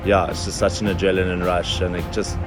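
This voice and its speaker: A man's voice: low voice, level tone, fairly quick, over music. A man of thirty with a South African accent, chatting casually in an interview. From Durban, South Africa. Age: 30s. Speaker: interviewee, kite school owner.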